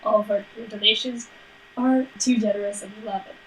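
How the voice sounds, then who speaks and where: A woman's voice: medium pitch, steady tone, a little fast, a little hiss or hum, rough recording. A woman co-hosting a podcast, chatting casually and plugging the show in a teasing, sweary, mock-bossy way. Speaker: podcast co-host. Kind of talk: casual banter and show plugs.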